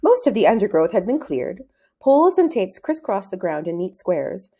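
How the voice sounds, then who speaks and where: Female storybook narrator, mellow tone, lively and expressive pitch movement. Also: moderate pace, slightly rough. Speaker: read-aloud narrator. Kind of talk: expressive storybook read-aloud.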